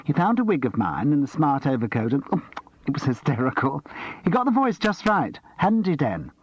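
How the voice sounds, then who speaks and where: A man voicing a chatty, gossipy middle-aged woman with a sharp edge, husky and slightly dull-sounding. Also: on the high side, huge pitch swings, moderate pace, no room sound, voice pushed up, full character voice.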